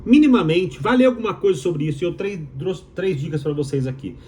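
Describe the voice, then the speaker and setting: A man's voice: on the high side, animated, a little fast, darkish tone, slight background noise. A man from Minas Gerais with a Mineiro accent, a doctoral student giving an opinionated explainer to viewers, emphatic and rhetorical, repeating his key phrases. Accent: Mineiro Brazilian Portuguese. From Minas Gerais, Brazil.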